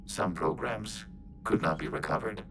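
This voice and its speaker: Machine intelligence, low pitch, detached, formal, measured, video game, rumbling noise behind. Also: male voice, creaky. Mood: calm, detached. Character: calm, logical male machine intelligence speaking as a collective.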